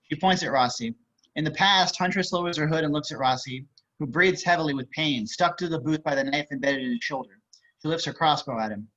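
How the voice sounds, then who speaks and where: A man's voice: a little high, brisk, a bit bright. A man reading screenplay action as scripted narration over a video call. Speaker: stage directions reader. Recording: slightly muffled video-call audio.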